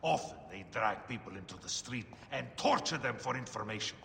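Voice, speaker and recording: An actor playing a furtive, florid poet in ancient Alexandria, expressive and conspiratorial, in a video game. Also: male voice, mid-range voice, fairly quick. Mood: grave, discreet. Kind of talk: dramatic video game acting. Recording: long reverb, clear background noise.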